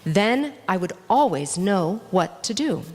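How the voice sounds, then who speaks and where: A kind, uncertain young boy from a picture book, read by a woman. The lines are earnest and questioning, with animated pitch, carried in a reverberant hall. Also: unhurried, breathy edge. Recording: echoey hall with long reverb.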